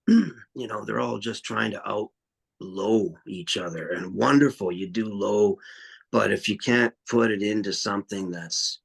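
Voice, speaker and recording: Deep husky-voiced man, blunt and rambling. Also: measured pace, low-quality recording. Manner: blunt, rambling, swears freely. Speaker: metal vocalist and guitarist guest. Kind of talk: casual podcast interview.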